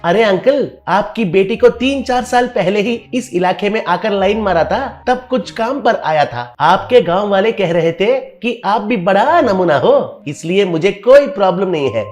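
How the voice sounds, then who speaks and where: A man's voice: sits high, lively intonation, unhurried, hardly any pauses, a little mellow, faint music behind, voice pushed up. A man voicing a brash, demanding young groom in a comic cartoon story, with a whiny, theatrical, insistent delivery. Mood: cheeky.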